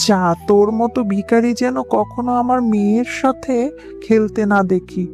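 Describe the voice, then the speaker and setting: A woman's voice: on the high side, fairly even intonation, normal speed, darkish tone, close to an everyday voice. A woman voicing a haughty, scolding tigress mother in a children's cartoon, with a scornful, accusing manner. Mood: scornful, angry. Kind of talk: children's animated fable acting. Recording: music under the voice.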